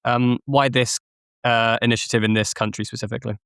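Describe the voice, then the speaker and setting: A man hosting a podcast interview, asking relaxed, hedging questions. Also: mid-range voice, brisk, vocal fry, clear, resonant tone, no echo, studio quality. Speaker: podcast host.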